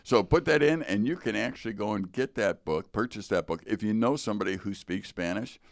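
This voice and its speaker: A man's voice: fairly low pitch, a little fast, creaky, no room sound. A middle-aged American man hosting a podcast in a conversational, rambling, explanatory way. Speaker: podcast host. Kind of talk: conversational interviewing. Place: podcast studio.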